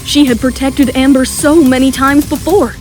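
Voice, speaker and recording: A woman's voice: high voice, fairly even intonation, normal speed, darkish tone. A woman giving dramatic scripted narration for an audio romance story. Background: faint music.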